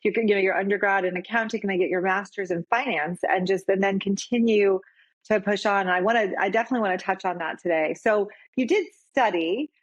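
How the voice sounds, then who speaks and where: A woman hosts a podcast interview in a chatty, easygoing way. She backs up her guest and fills her questions with little asides and restarts. Her voice sits at a middle pitch. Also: fast, muffled recording. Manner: chatty, encouraging, many fillers. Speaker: podcast host. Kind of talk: casual interview hosting.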